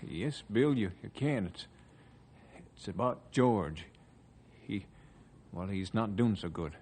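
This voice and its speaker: A man's voice: lowish voice, expressive, slow pace, a little mellow, slight background noise. An actor playing a troubled, pleading father in old-time radio style, anxious and emotional.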